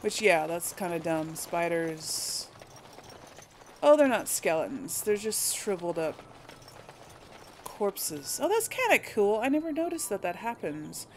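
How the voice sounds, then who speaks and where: A woman's voice: fairly low pitch, very animated, unhurried, slight background noise. A woman giving casual let's play commentary, chatting to viewers and musing aloud as she goes. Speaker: gaming video host. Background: video game ambience and effects.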